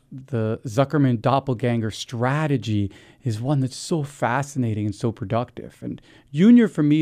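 A man's voice: mid-range voice, warm tone. A man from New Jersey, an author answering interview questions in a reflective, casual way, mixing slang with literary phrasing. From New Jersey, USA. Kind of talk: relaxed conversational interview.

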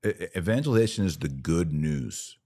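A man's voice: low-pitched, lively intonation, brisk, dark tone. A man giving conversational religious commentary as a podcast host, emphatic and plain-spoken as he explains.

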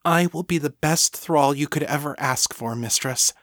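A man's voice: on the high side, moderate pace, a bit bright, no room sound, studio quality, pitched above the performer's own voice, natural voice. A man voicing a devoted, self-effacing female thrall in an audiobook, earnest and reverent in manner. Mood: adoring, reverent.

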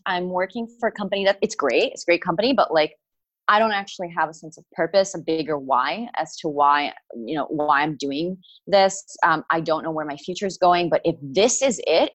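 Lower-voiced American-accented woman guest. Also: lively intonation, normal speed, no room sound. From Italy. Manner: reflective, many fillers. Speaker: guest, writer and coach. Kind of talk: interview storytelling.

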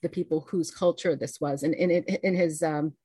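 A woman's voice: low voice, steady tone, moderate pace, mellow, slightly rough, no echo, studio quality. An American woman, a guest author on a podcast, speaking earnestly and thoughtfully with frequent restarts. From United States. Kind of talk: podcast interview.

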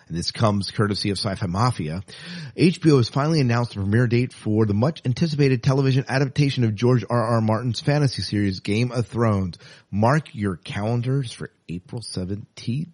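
A man's voice: medium pitch, expressive, normal speed, darkish tone, breathy edge, no room sound. A man co-hosting a podcast, chatting casually, thinking aloud and reading out news in a conversational way. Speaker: podcast co-host.

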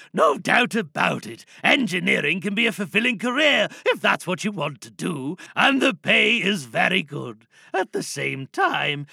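A man's voice: high-pitched, vocal fry, bright, forceful tone, husky, dry recording, clean studio sound, full character voice. A man voicing a grandiose, self-important fake online oracle in a pompous, melodramatic livestream monologue.

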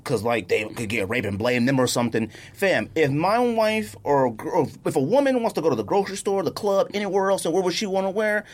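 A man's voice: a little high, fairly quick, hardly any pauses. An American man in casual podcast debate, speaking emphatically in slangy, informal language and repeating himself to drive points home. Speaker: podcast co-host. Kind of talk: casual sports debate between friends.

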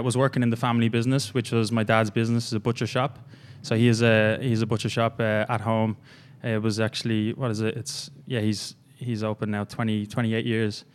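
A young man with an Irish accent, a gym coach, telling his story in a halting, rambling way with many fillers in a casual interview. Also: mid-range voice. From Ireland.

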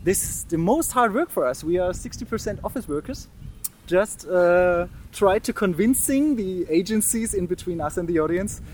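Guest, German-accented man, thirties, earnest and halting, faint traffic noise behind. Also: sits high, animated, darkish tone. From East Germany, Saxony. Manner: earnest, restarts words, laughs often. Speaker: guest, mime artist. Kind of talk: casual interview.

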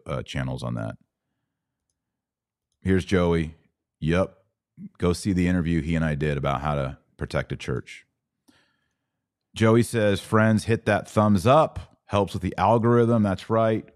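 Man, low deep voice, dry close sound, podcast host, informal and slangy, emphatic livestream chat. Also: unhurried, darkish tone, no room sound. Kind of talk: casual livestream chat answering viewer comments.

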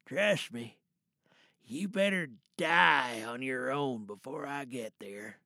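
A man playing a protective, grim older father in an audio drama monologue, speaking in a halting, deliberate and coldly calm way. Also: medium pitch, gravelly, dry recording, studio quality, pitched below the performer's own voice, exaggerated voice. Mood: cold menace. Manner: halting, hushed reassurance turning cold and deliberate. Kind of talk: dramatic audio monologue, one side of a phone call.

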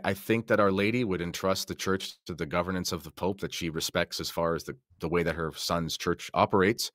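A man's voice: sits low, a bit bright, no echo, studio quality. A man talking as a podcast guest in a conversational religious discussion, making careful, qualified counterarguments.

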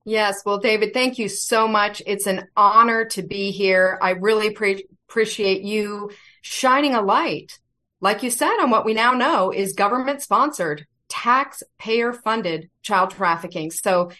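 Earnest woman guest, measured speech. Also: mid-range voice, sharp tone. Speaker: guest, federal whistleblower. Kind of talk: interview answers.

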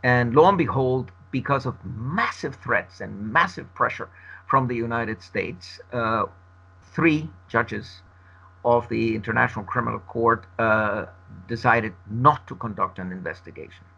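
A man whose first language is Spanish gives a long interview answer, arguing in a measured, emphatic way. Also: mid-range voice, vocal fry, pushed and bright, slightly rough. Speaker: interview guest. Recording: dull lo-fi recording.

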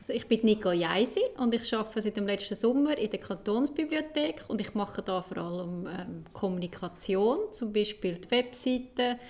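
A woman's voice: medium pitch, normal speed, few pauses, a little mellow. A woman with a Swiss German accent answering informal interview questions as a library staff member.

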